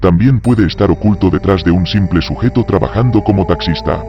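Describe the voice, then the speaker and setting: Deep, fast male narrator over music. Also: highs cut off. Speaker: video channel narrator. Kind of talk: scripted true-crime narration.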